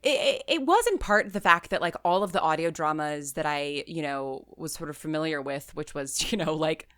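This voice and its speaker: A woman's voice: sits low, expressive, normal speed, a bit bright, no room sound, clean studio sound. A woman talking casually as an interview guest, rambling with lots of "like" and "you know", stressing key words. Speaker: interview guest, audio drama writer and creator. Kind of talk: casual, chatty interview answers.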